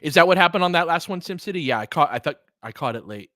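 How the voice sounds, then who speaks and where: Casting: American male sports streamer, bright forceful voice, close dry sound, informal play-by-play mixed with chat. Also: a little high, animated, fast, no echo. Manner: conversational, reacting to chat comments. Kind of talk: casual live sports commentary and chat.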